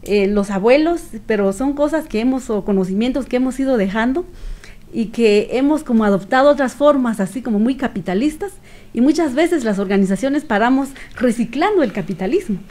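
A middle-aged woman with a Guatemalan accent giving earnest, reflective interview answers in a measured way, with pauses. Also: fairly high pitch, expressive, a little mellow. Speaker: activist guest. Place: small radio studio with several people. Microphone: studio microphone on stand.

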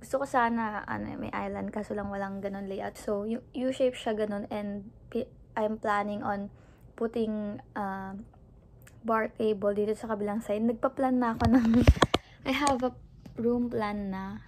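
A woman's voice: mid-range voice, steady tone, normal speed, a little hiss or hum. A young woman from the Philippines with a Filipino accent, chatting casually in a home vlog and mixing Tagalog with English. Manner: chatty, switching between Tagalog and English mid-sentence. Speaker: vlogger. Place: apartment at home.

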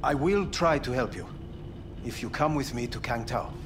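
An actor playing a formal, coolly clinical corporate scientist in a video game. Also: male voice, mid-range voice, lightly acted. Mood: persuasive. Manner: formal, measured, probing. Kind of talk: video game dialogue acting. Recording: game ambience and traffic noise behind.

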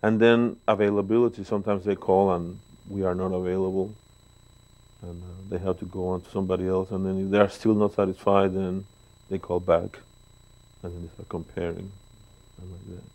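A man in his sixties with a Mexican Spanish accent speaks in a very low, deep voice. He tells stories as an interview guest, pausing on fillers, seated in a living room with a lapel mic. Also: level tone, low-quality recording. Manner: warm, storytelling, pauses with fillers. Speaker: guest bass player. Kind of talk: relaxed interview talk.

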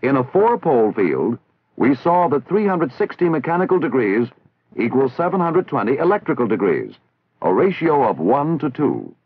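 A man's voice: mid-range voice, very animated, slight background noise. Tinny vintage American male training-film narrator. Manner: formal, measured, didactic enunciation. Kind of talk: scripted instructional narration, 1960s military training film. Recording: tinny, band-limited 1960s film soundtrack.

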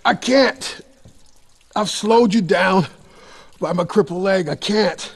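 Gravelly, weary wounded older man. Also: high-pitched, full character voice. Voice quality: gravelly, husky. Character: wounded older man, weary, gruff, self-doubting survivor. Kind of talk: post-apocalyptic audio drama acting.

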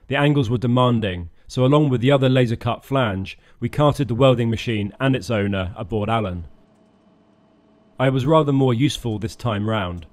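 A man narrating his own boat project in a scripted voice-over, dry and self-mocking. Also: mid-range voice. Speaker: channel host narrating a boat build.

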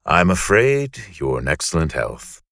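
An actor playing a suave, flirtatious, polite male surgeon with a deep, husky voice in a romantic comedy audio drama. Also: wildly swooping pitch, unhurried, fairly bright tone, dry recording, exaggerated voice. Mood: charming, curious.

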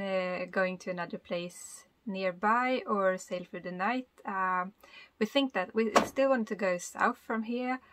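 A woman in her thirties, a native Swedish speaker, talks to a camera held close inside a small sailboat cabin. She sounds relaxed and unhurried, thinking aloud with plenty of ums and uhs. Her voice sits at an everyday pitch. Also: no echo. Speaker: sailing vlogger. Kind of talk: casual vlog talk to camera.

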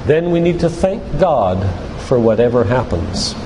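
An older man giving a sermon-like lecture in a church, speaking in an earnest, deliberate, emphatic way with repetition. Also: mid-range voice, very animated. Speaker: doctor and guest church speaker. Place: church, live talk. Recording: echoey hall with loud background noise.

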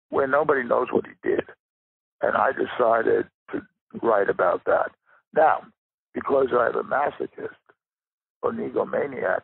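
A man with a raspy, gravelly voice giving reflective interview answers as a guest writer, pausing for emphasis. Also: medium pitch, no echo. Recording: muffled narrow phone-like line.

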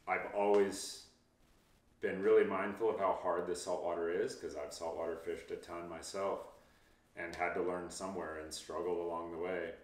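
A man in his thirties, a fishing guide, giving easygoing, reassuring interview answers with frequent ums, seated in a home office. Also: deep voice. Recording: roomy, distant mic.

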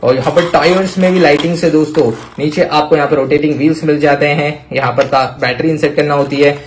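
A man hosting a toy showcase, talking to viewers with excitable, repetitive exclamations. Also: on the high side, fast. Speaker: toy review video host. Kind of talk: enthusiastic toy showcase commentary addressed to viewers. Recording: clear background noise with clicks.

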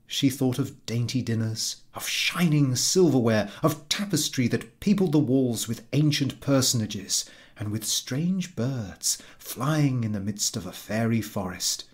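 A man narrating a literary story as an audiobook reader, measured and expressive. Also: medium pitch.

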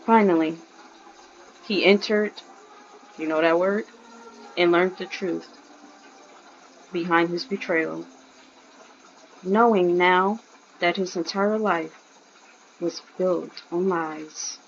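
A woman giving casual game commentary as a streamer, informal and halting in her delivery. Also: on the low side, steady tone. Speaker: gaming streamer. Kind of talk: casual game commentary, reading captions aloud. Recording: dull, noisy lo-fi mic.

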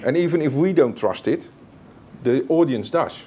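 A man's voice: on the high side, expressive, normal speed, dark tone, husky. A man speaking as a panelist in a conference panel discussion, explaining his points hesitantly with many fillers. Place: conference stage, indoors.

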